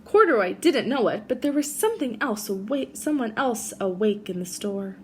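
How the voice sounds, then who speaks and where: A woman's voice: on the high side, big pitch swings, slight background noise. A woman teacher reading a picture book aloud expressively to children, with asides to young listeners. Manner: pauses to ask young listeners questions.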